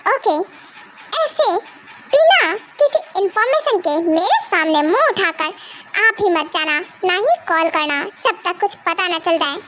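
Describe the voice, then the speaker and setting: A woman's voice: very high voice, expressive, measured pace, music in the background. A woman playing an angry, threatening man. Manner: irritated, threatening. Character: angry man being blackmailed, vengeful.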